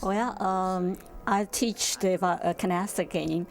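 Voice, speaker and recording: Older lady w hong kong chinese accent, kinda flat and creaky, chatting in an interview. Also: on the low side, takes it slowly. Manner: non-native English grammar. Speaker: senior center volunteer game teacher. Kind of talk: casual interview answers.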